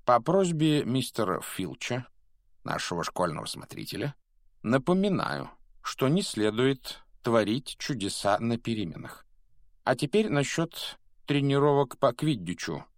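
A man's voice: mid-range voice, no echo, clean studio sound, voice pushed up. A man voicing a solemn yet whimsical elderly wizard headmaster in an audiobook, with ceremonious, declamatory delivery. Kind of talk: audiobook character voice.

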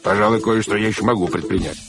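Man acting a low-voiced, sneering villainous count. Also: over music, natural voice. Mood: sneering, mocking. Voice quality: growling. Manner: boastful, drawn-out sneering delivery. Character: gloating villainous count, boastful, sneering, mocking. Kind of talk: theatrical audiobook character acting.

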